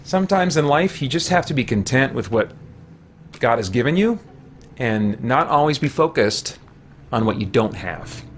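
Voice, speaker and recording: A man in his forties giving casual livestream game commentary, halting as he thinks aloud. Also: medium pitch, a little hiss or hum. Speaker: video game streamer and podcast host. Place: small room at home, indoors.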